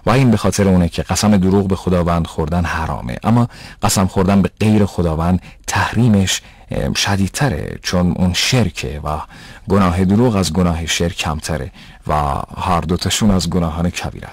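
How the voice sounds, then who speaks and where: A man playing a patient, pious father who explains rules in a measured, didactic way in an educational cartoon. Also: low voice, husky, no room sound. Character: patient, pious father teaching his son religious rules. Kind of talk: dubbed educational cartoon dialogue.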